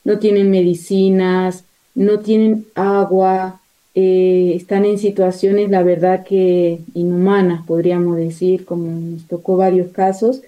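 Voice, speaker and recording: A woman's voice: on the low side, level tone, unhurried, warm tone, low-quality recording. A woman missionary nun giving a spontaneous interview account, often hesitating and searching for words.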